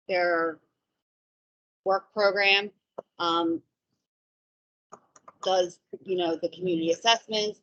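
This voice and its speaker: A woman in a business association, explaining ideas informally and haltingly in a meeting discussion. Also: medium pitch, pushed and bright, no room sound, highs cut off.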